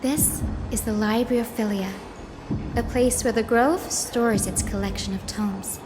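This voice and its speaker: A woman's voice: a little high, steady tone, unhurried, close to an everyday voice. An actress playing a cheerful, teasing young woman guide in a video game, chatty and friendly as she explains. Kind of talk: scripted game voice acting. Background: background music.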